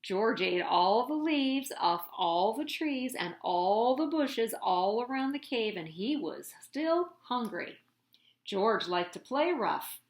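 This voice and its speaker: A woman reading a children's picture book aloud as a storyteller, with dramatic, deliberate emphasis, recorded in an echoey room. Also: fairly high pitch, expressive.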